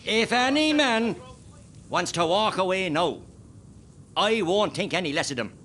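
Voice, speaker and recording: Bright, forceful rebel commander played by a man. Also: sits high, expressive, measured pace, exaggerated voice. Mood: grave, rallying. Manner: firm, rallying. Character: resolute guerrilla commander addressing his outnumbered men. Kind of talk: radio play acting.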